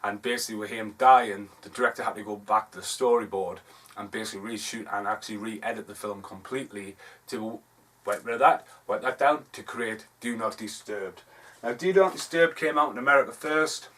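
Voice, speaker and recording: A man's voice: fairly low pitch, brisk, fairly bright tone. A Geordie man talking through his movie collection in a loose, offhand way, often stopping to correct himself. His voice sits a little back from the microphone, so it sounds slightly distant. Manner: rambling, offhand, self-correcting. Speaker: film reviewer. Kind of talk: casual video review, showing DVD covers. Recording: voice a bit far from the mic.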